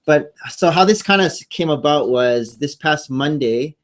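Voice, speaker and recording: A man's voice: on the high side, expressive, moderate pace. A man co-hosting a casual live stream, rambling with many ums and restarts, talking into a new microphone. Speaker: co-host. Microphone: new studio microphone.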